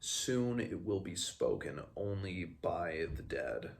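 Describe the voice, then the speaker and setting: A scornful immortal captive from a fantasy tale read by a young man has a deep, creaky voice. The words come out measured and full of disdain for mortals. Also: expressive, heavily acted.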